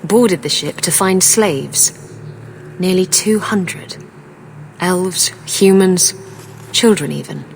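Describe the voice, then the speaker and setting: A woman's voice: on the low side, takes it slowly, creaky, long pauses, slight background noise, low-quality recording. A woman playing a worldly, flirtatious pirate captain in a video game, speaking in a wry, candid way. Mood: grave, candid. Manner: wry, candid, casually confiding. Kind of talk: video game dialogue acting.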